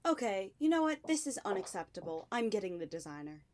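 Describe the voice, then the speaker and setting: An actress playing an impatient, flustered dressing attendant in an audio drama, emphatic and exasperated. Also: female voice, medium pitch, big pitch swings, fast, noisy background, natural voice.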